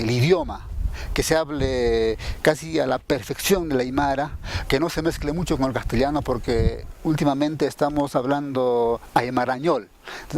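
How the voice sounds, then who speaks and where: A man's voice: a little high, a little mellow. Peruvian man recounting, over background noise. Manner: frequent "¿no?" tags seeking agreement. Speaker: radio drama producer. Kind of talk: spontaneous spoken account of a production.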